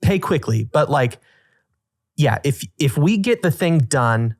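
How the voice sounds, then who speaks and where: A man co-hosting a casual podcast, talking in an animated, emphatic way with slangy exclamations and repeated phrases. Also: a little high, slow pace, dry recording, studio quality. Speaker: podcast co-host. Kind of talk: casual podcast banter and commentary.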